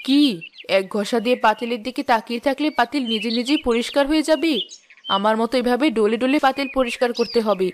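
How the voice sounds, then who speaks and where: A woman playing a sharp-tongued village housewife in a cartoon fairy tale, teasing and sarcastic. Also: a little high, quick pace, a little hiss or hum, natural voice. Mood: mocking. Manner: sarcastic, taunting. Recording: music and birdsong behind the voice.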